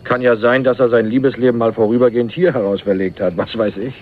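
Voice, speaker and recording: An actor playing a guarded, casual young heir in a radio crime drama, answering in clipped, offhand phrases. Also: male voice, medium pitch, steady tone, quick pace, narrow, tinny audio, natural voice. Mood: casual, evasive. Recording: band-limited radio play recording.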